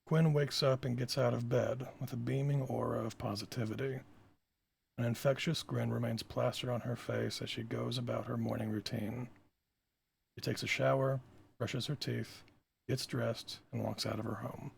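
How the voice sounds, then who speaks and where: A man with a raspy, creaky voice, informal and self-deprecating, reading stage directions as the writer-director at a table read. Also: medium pitch, little pitch movement, normal speed, slight background noise. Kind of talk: screenplay table read narration.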